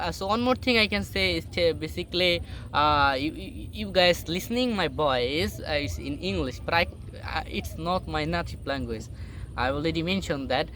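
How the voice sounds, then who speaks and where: Bengali-accented man with a high voice. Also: a bit bright, slight background noise. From Bangladesh. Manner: halting non-native English with many fillers and restarts. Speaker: vlogger. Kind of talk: casual motivational talk to camera. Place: sitting alone on a boat outdoors in a rural area.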